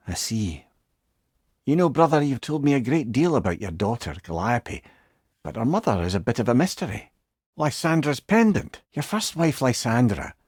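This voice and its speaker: A man's voice: mid-range voice, breathy edge, no room sound, clean studio sound, heavily acted. A man voicing a wise, wry old advisor in a video game, with a Scottish accent and an eloquent, thoughtful way of talking. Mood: gentle, concerned. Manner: eloquent, thoughtful, gently probing. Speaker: voice actor. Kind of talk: video game companion dialogue.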